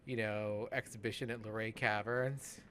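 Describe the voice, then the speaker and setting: A man chatting casually as he commentates on a game, halting and often trailing off mid-thought. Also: lowish voice, fairly even intonation, a bit bright, a little hiss or hum. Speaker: let's play podcast host.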